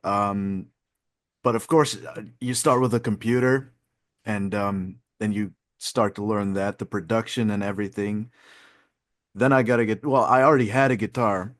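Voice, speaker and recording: Relaxed young man with a low voice. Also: moderate pace. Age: 20s. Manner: relaxed, rambling, many fillers, laughs easily. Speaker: guest musician. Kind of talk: casual interview chat. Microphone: video call.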